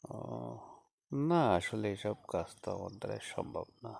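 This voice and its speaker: A man's voice: lowish voice, big pitch swings, gravelly, darkish tone, no room sound, full character voice. A man with a Bangladeshi accent playing a polite, hesitant former schoolteacher in a conversational phone-call audio story. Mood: polite, reluctant. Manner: hesitant, halting, with frequent small acknowledgements.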